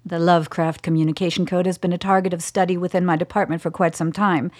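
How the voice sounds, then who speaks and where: A woman plays a calculating scientist-official in a radio play. Her voice is low, her delivery measured and businesslike, coolly in command. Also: level tone, a little mellow, close to an everyday voice. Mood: measured briefing. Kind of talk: radio drama acting.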